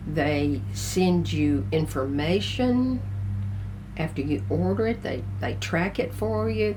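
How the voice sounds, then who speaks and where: An older woman with a gruff, congested voice, chatting casually and rambling to her vlog viewers. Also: fairly low pitch, noisy background. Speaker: vlogger. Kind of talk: casual chat to camera. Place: tiny house.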